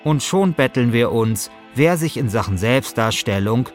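A man's voice: medium pitch, animated, measured pace, faint music behind. A man, hosting a podcast in a wry, self-ironic way. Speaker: podcast host and journalist. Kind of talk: scripted podcast narration and casual chat.

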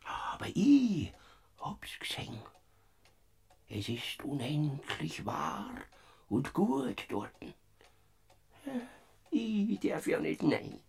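A sly, childlike figure of Death in an old Bavarian radio play. The voice is gravelly and breathy, drawn out slowly, and it leaps from a low whisper to a high squeal. He stammers, giggles and coaxes. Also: huge pitch swings, darkish tone, exaggerated voice. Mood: hushed, coaxing. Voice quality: raspy, creaky, whispering and squealing by turns. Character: Death as a sly, bumbling Bavarian peasant spirit. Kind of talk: classic radio play acting.

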